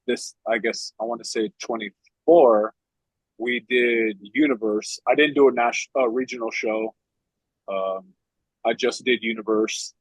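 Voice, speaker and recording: A husky man in his late thirties, a competitive physique athlete, talking casually and rambling with lots of filler words. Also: on the low side, no echo, rough recording. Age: 30s. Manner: loose and rambling, heavy "like" fillers. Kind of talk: casual podcast chat.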